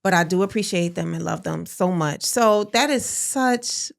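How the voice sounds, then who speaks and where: A woman's voice: on the low side, moderate pace, vocal fry. A woman hosting a podcast, giving candid advice in casual conversation and repeating key phrases for emphasis. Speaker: podcast host.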